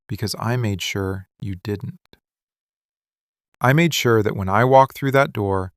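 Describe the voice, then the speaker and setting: Low, gravelly, possessive mafia boss, acted by a man. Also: dry recording, clean studio sound. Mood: wounded, intense. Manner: intense, commanding, deliberate dramatic pauses. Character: possessive, brooding male mafia boss lover, menacing and obsessive. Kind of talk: scripted boyfriend ASMR audio roleplay.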